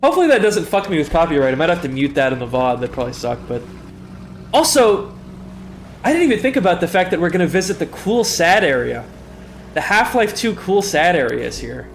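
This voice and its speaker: A man's voice: a little high, very animated, brisk, soft background music. Stuffy, rambling streamer guy. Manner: rambling, self-deprecating, apologetic. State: stuffy nose, tired. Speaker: game streamer. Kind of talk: casual live-stream commentary.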